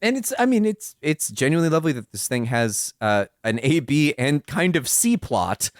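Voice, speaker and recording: A man in casual podcast discussion, speaking haltingly in a fairly high register. His pitch moves around a lot, and the sound is close and dry. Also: big pitch swings, no room sound. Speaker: podcast co-host.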